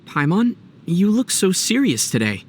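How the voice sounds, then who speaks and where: A man voicing a young male adventurer in a video game, light and youthful, playful, easygoing and gently teasing. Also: sits high, very animated, a little slow, a little hiss or hum. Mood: gentle, easygoing. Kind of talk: video game character dialogue.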